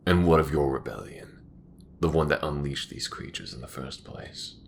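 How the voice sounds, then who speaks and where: A man playing a proud, bitter old imperial commander near death in a sci-fi audio drama, with a grave, grandiose, measured delivery. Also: slight background noise. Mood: challenging, bitter. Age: older. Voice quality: very deep, gravelly.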